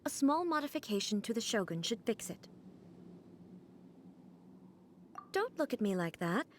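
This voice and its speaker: Casting: reclusive female deity, video game; fairly high voice, measured, explanatory, quietly stubborn, faint background noise. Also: big pitch swings. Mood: mildly defensive. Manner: formal, composed, explanatory.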